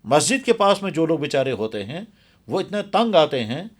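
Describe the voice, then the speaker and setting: Earnest male cleric, slightly high voice. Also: very animated, moderate pace. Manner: earnest, emphatic, repeats phrases for stress. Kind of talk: explanatory religious talk in an interview.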